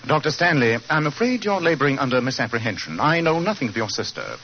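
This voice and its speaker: A man's voice: medium pitch, sing-song, quick pace. A man playing a cool, logical English detective, precise and formal, with a British accent in 1940s radio acting. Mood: courteous. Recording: muffled, noisy 1940s radio recording.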